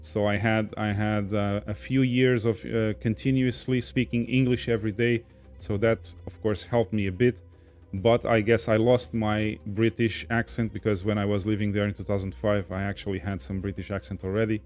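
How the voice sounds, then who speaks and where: A man's voice: on the low side, level tone, moderate pace, a little mellow, soft background music. A man from Portugal with a Portuguese accent, casually hosting a livestream and reading viewer comments aloud. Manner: chatty, reads viewer comments aloud, laughs easily. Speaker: podcast host reading chat.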